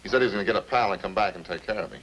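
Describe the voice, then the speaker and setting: An actor with an American accent playing a defensive, slangy small-time murder suspect in a 1950s radio drama, colloquial and grumbling. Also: male voice, fairly low pitch, racing, fairly bright tone, rough recording. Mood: defensive, excusing. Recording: old radio recording, faint noise.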